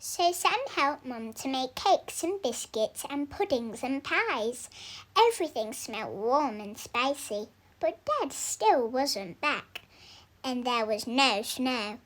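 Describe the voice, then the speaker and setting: Young woman reading a kids story out loud, really high voice, takes it slow n drawn out. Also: lively intonation. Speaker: storybook reader. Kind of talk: expressive scripted children's story narration.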